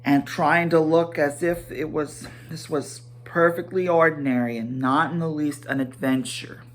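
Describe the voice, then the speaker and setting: Slow, halting male storybook narrator. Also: on the high side, fairly even intonation, a little hiss or hum. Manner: halting, stumbling over words and correcting himself. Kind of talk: dramatic reading of a fantasy story.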